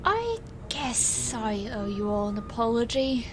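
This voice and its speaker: A woman's voice: fairly high pitch, big pitch swings, a little slow. A woman playing a weary, sardonic stage magician and capable assistant in an audio drama, with dry delivery. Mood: apologetic, pained. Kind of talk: audio drama character acting. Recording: faint noise.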